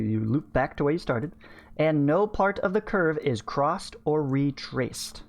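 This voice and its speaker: A man in his thirties teaching math, reading a textbook aloud and adding informal explanatory asides into a close microphone. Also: mid-range voice. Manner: informal, explanatory, often checking with "right?" and "okay". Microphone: close studio microphone on a stand.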